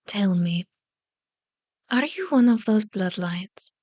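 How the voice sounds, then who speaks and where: A woman playing a powerful elder vampire in an ASMR roleplay, seductive and taunting, with slow, deliberate, formally worded speech. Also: mid-range voice, expressive, a little mellow, no room sound. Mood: amused, threatening. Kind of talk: ASMR roleplay, scripted monologue. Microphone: close microphone, dry recording.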